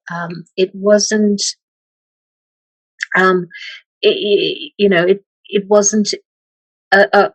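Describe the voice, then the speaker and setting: A middle-aged woman from Malaysia, a meditation teacher speaking as an interview guest, slow and hesitant with many pauses and restarts. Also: lowish voice, dry recording, rough recording. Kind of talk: reflective interview talk.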